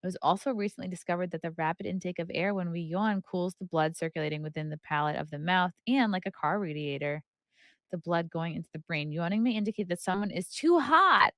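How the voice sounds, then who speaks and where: A woman's voice: on the low side, fairly quick, fairly bright tone, dry recording. A woman hosting a podcast, chatting casually and reading aloud from a book with playful, irreverent, flippant asides. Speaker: podcast host.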